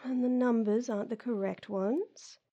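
A woman performing a scripted audio roleplay in a whispery, breathy, teasing way. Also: fairly high pitch, fairly even intonation, normal speed, dark tone, dull audio, soft background music.

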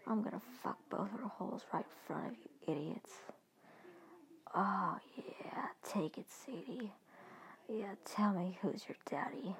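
A woman putting on a crude, domineering male lover in a husky whisper, taunting and commanding. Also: mid-range voice, lively intonation, measured pace.

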